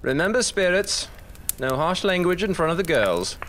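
A man's voice: high-pitched, big pitch swings, moderate pace, a bit bright, slight background noise. A man playing a cheeky, teasing séance guest of the 1920s in an audio drama.

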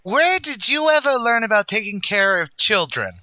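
A man's voice: sits high, big pitch swings, moderate pace, sharp tone, no room sound, full character voice. An actor playing a brash, sardonic tavern manager who mangles his grammar, in 1940s radio comedy style. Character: wisecracking, malaprop-prone male manager of a shabby tavern.

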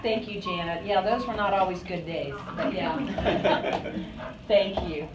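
Slow-talking older woman in an echoey room. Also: lowish voice. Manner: warm, laughing replies. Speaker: retiree being honored. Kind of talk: casual chat. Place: conference room at a party. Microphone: phone camera across the table. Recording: echoey room, noisy, from far off.

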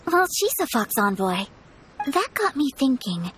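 A woman voicing a graceful young noblewoman in a video game, speaking formally, gently and in a measured way. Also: sits high, very animated, a little hiss or hum, heavily acted. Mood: thoughtful. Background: game music.

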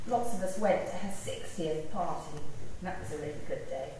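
A woman's voice: low voice, expressive. Woman giving a eulogy, very echoey. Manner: measured, halting, with wry humour. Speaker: eulogist at a memorial service. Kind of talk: eulogy, personal and anecdotal. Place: large stone village church, indoors. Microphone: far camera among the congregation. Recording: echoey church, distant mic, faint noise.

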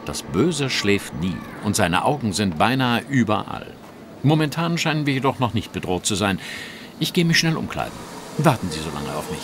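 An actor playing a shrewd, self-assured gentleman detective in a radio play, wry, urbane and theatrical. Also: lowish voice, very animated, normal speed, noisy background. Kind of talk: German radio play acting.

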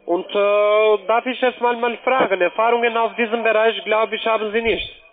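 Non-native male telesales agent, high voice, wordy and courteous, thin telephone audio. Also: fairly even intonation, normal speed. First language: not German. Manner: effusive, polite, wordy. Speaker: call centre sales agent. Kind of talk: unscripted phone sales pitch. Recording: tinny narrow phone-line sound.

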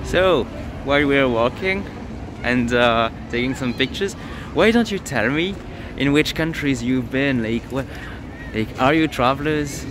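A young man with a French accent talks to his phone on a busy city square. He rambles casually, full of fillers and little jokes, at a middling pitch. Clear street noise sits behind his voice. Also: measured pace. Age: young adult. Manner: chatty, joking, many fillers and restarts. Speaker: vlogger. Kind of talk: casual vlog chat. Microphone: phone at arm's length.

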